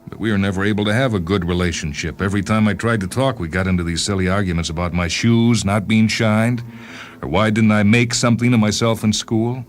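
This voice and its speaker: An aggrieved man in his thirties, acted by a male storyteller, raised in pitch. He sounds exasperated and keeps justifying himself, as in a dramatic short story reading. Music sits underneath. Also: fairly even intonation, brisk, natural voice. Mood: agitated, aggrieved. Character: aggrieved, unhappy 32-year-old man confronting his father. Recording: music bed behind the voice.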